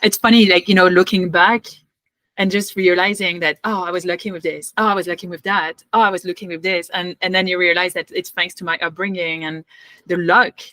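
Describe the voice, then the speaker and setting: A woman with a French accent hosting an interview, chatty and animated. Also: on the low side, a little fast, no echo. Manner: animated, chatty, with laughter and fillers. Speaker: podcast host. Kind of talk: interview conversation.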